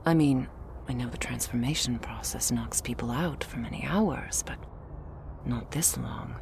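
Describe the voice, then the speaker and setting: A woman plays a guarded female vampire bodyguard with a very low voice full of gravelly creak. She speaks in a hushed, dry, terse way for an ASMR roleplay, unhurried and stern, over faint ambience. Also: background noise, full character voice. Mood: musing, hushed.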